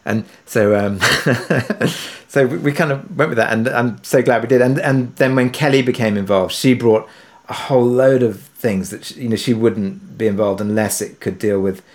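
A man speaking conversationally as an interviewed producer, halting and self-effacing, often rephrasing as he goes. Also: mid-range voice. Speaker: audio drama writer and producer being interviewed.

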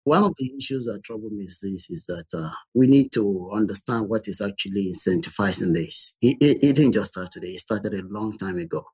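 A middle-aged man with an African accent talks in a husky, rough-edged voice. He argues his points emphatically in conversation, repeating phrases to drive them home. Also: fairly low pitch, fairly quick, few pauses, darkish tone. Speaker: panel discussion participant. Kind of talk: opinionated conversational discussion.

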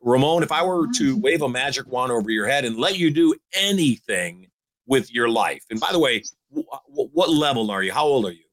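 Older man in his sixties, emphatic coaching host, mid-pitched voice, dry studio sound. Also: expressive, fairly quick, a bit bright, no room sound. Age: 60s. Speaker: host giving advice. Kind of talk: motivational call-in advice.

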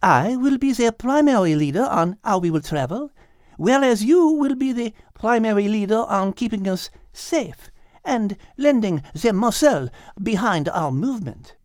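Man as female storybook cat, French accent, high voice, lilting, composed, articulate, close dry sound. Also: sing-song, no echo. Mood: composed, formal. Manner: measured, formal, articulate. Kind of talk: animated audiobook character reading.

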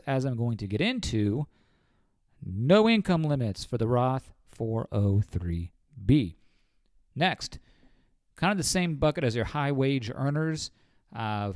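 A man's voice: medium pitch, a little mellow, dry recording, clean studio sound. Man with a cold, folksy advisor. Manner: folksy, addresses listeners as folks. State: has a cold. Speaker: financial advisor. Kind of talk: podcast explainer.

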